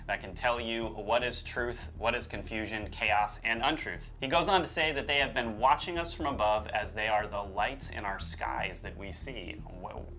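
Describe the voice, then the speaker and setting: A man's voice: medium pitch, moderate pace, few pauses, bright, forceful tone, rough recording. A man co-hosting with casual commentary and banter, informal and jokey in a slangy way. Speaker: co-host.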